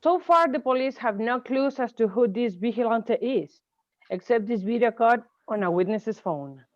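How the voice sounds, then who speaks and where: A woman playing a brisk TV news reporter in a screenplay table read. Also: fairly high pitch, animated, lightly acted. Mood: serious, factual. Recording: slightly dull, lo-fi microphone.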